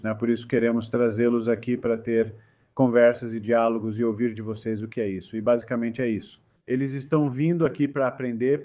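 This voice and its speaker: A man from India leading a visiting group, giving an informal spoken introduction in a dull, lo-fi recording. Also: mid-range voice. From New Delhi, India. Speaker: leader of a visiting student group. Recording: dull, lo-fi sound.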